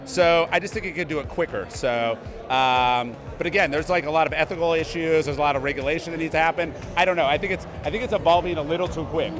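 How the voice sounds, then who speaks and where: A man's voice: fairly high pitch, fast. A man giving a casual event interview, upbeat and emphatic, often repeating his points. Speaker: interview guest. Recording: music playing loudly behind.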